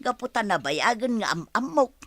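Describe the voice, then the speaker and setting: A woman's voice: on the high side, big pitch swings, normal speed, a bit bright, dry recording. A woman playing an earnest, chastened wife in a radio drama. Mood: contrite, earnest. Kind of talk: radio drama acting in Ilocano.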